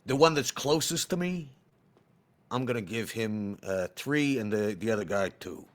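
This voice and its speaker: A man plays in a tabletop roleplaying game. His voice is on the low side, and he talks casually as he checks rules and decides what his character does. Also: lively intonation, moderate pace.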